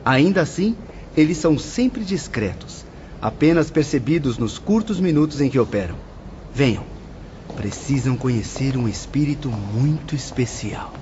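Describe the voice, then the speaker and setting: A man with a Brazilian accent playing a calm, instructive spirit guide in an audio drama. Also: medium pitch, moderate pace, natural voice. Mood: calm instructing. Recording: muffled, clearly noisy audio.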